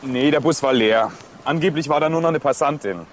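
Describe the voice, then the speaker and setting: An actor playing a weary, sceptical police investigator, speaking colloquially in a German crime radio drama. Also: male voice, on the high side, a little fast, background noise, lightly acted.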